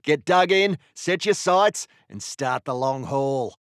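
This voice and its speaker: A man's voice: on the high side, lively intonation, takes it slowly, fairly bright tone, smooth, no room sound, studio quality. A man playing a seasoned, grumbling artillery gunner in a war game, matter-of-fact in manner. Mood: instructive. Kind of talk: video game unit voice lines.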